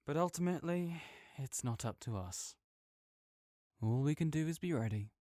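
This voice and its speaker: A man's voice: on the high side, animated, normal speed, mellow, no room sound, clean studio sound. A young man in an audio roleplay, talking as a supportive friend in a playful, reassuring way.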